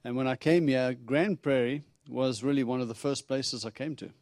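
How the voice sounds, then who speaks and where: Man, middle-aged, from South Africa, Texan accent, chatty humorous preacher, mid pitch, dry sound. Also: steady tone, moderate pace, a little mellow, no room sound. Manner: chatty and humorous, acting out dialogue with God. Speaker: visiting preacher. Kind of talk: sermon. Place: church.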